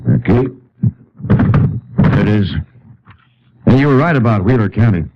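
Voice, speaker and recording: Deep-voiced skeptical American ranger captain. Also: male voice, animated, unhurried. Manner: terse, unenthusiastic, questioning. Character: skeptical, practical male Texas Ranger company captain. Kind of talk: 1950s radio drama acting. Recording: muffled old-time radio recording.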